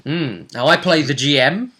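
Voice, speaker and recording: Bright-voiced man, jokey game master. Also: a little high, moderate pace. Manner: jokey, bantering with co-host. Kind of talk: casual recap of a tabletop role-play session.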